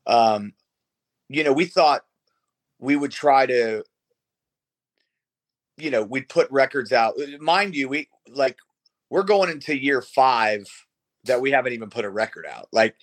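A man's voice: mid-range voice, animated, unhurried, long pauses. A man around forty who sings in a rock band, chatting casually and informally as an interview guest. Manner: informal, swearing. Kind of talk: casual chat.

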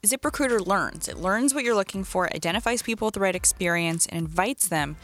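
Woman podcast host, mid pitch, upbeat, faint music behind. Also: lively intonation, brisk, a bit bright. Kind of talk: podcast interviewing and ad reads.

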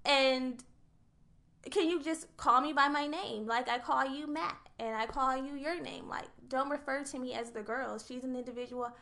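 A woman's voice: high voice, normal speed, pushed and bright. A young woman co-hosting a podcast, making her case earnestly and point by point in casual chat. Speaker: podcast co-host.

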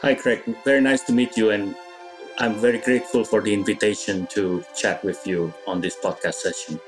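Thoughtful Indonesian-raised conductor, unhurried. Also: male voice, on the low side, steady tone, over music. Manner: thoughtful, searching for words, many pauses. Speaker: orchestra conductor, guest. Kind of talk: reflective interview answers.

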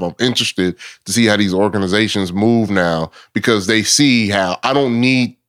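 A man's voice: on the low side, fairly bright tone, dry recording, clean studio sound. A man speaking as a podcast guest in casual sports talk, laid-back and slangy, often laughing between phrases.

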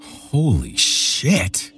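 A man's voice: mid-range voice, huge pitch swings, drawn-out words, a bit bright, soft background music, pitched above the performer's own voice, heavily acted. A man voicing a horrified, crude male plant coworker in a horror story, exclaiming in alarm. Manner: exclaiming, aghast.

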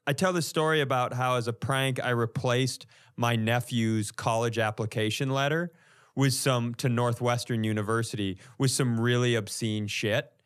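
An American man talks like a comedian on a casual podcast. His voice sits at a middling pitch, and he tells his stories in an animated way. He swears freely and acts out the reactions of the people in them. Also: normal speed, clean tone.

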